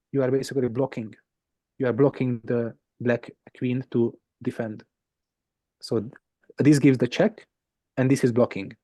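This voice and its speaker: Male game teacher, native Hungarian with a Hungarian accent, relaxed mellow tone, dry close sound, patient step-by-step delivery. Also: mid-range voice, dry recording. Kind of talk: informal explanation of puzzles.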